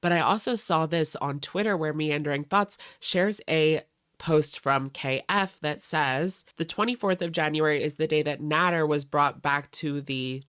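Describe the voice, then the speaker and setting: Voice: mid-range voice, bright, forceful tone, clear, resonant tone, no echo, studio quality. An internet drama channel host giving casual gossip commentary and chatting directly to viewers.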